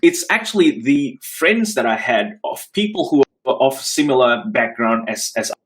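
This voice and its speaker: A man's voice: mid-range voice, expressive, normal speed. A man with an Australian accent, raised in Singapore, giving a thoughtful interview answer as an associate dean, with frequent restarts and fillers.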